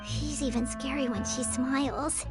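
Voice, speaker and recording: A woman voicing a small, nervous, chatty fairy-like girl companion in a video game, with a squeaky, childlike voice. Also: steady tone, a little slow, over loud music.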